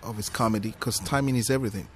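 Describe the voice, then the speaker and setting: A Nigerian-accented man whose first language is Yoruba, talking with a slightly low voice. He tells his stories emphatically and with lively energy, as a comedian guest on a podcast. A faint hiss sits under his voice. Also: racing. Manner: animated, emphatic storyteller. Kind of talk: podcast interview chat.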